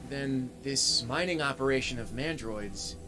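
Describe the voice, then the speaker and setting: An actor playing a plucky young robot soldier in a video game, with a casual, wry and dutiful manner. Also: male voice, medium pitch, unhurried, music in the background, natural voice. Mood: wry, uneasy. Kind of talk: scripted video game cutscene acting.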